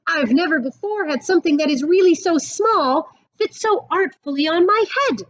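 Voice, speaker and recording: A woman's voice: extremely high pitch, animated, moderate pace, dull audio, voice pushed up. A woman voicing delighted, admiring cowboy barbers in a storybook, with earnest, emphatic exclamations. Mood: amazed, admiring.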